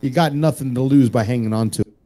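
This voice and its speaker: A man's voice: mid-range voice, fast, warm tone. A man in a casual panel chat, talking in an emphatic, opinionated way and swearing freely. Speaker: podcast panelist.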